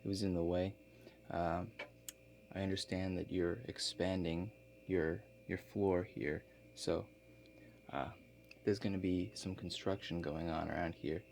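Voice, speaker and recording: A man playing a laid-back construction contractor in a soft-spoken ASMR role-play, talking in a halting, matter-of-fact way. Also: deep voice, steady tone, vocal fry. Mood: calm, matter-of-fact. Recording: faint background noise.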